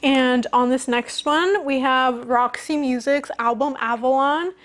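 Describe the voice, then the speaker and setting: A woman's voice: high-pitched, measured pace, a bit bright. A woman presenting a collection in a casual show-and-tell style, with enthusiastic, informal phrasing and youthful slang. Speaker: music collecting video host.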